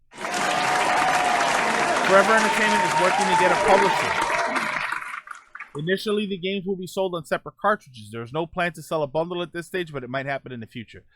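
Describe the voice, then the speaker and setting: Higher-voiced man, casual gaming livestream host. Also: a bit bright, a little hiss or hum. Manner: informal, asides while reading aloud. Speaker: host of a video game podcast and livestream. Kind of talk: casual livestream commentary, reading out news notes.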